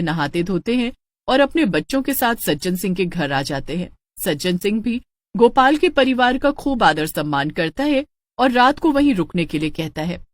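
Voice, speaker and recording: A woman's voice: on the low side, dry recording. A woman giving scripted narration for a cartoon story.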